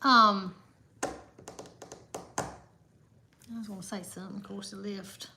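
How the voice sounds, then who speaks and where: A woman's voice: mid-range voice, fairly even intonation, takes it slowly, gravelly, very noisy. A woman, co-hosting a casual livestream and reading viewers' comments in a chatty, giggly way. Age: 50s. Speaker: co-host of a livestream.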